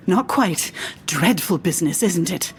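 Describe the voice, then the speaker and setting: A woman's voice: lowish voice, lively intonation, slow, slightly rough, heavily acted. A woman playing a dry, embittered, defensive scientist in an audio drama, with a clipped delivery.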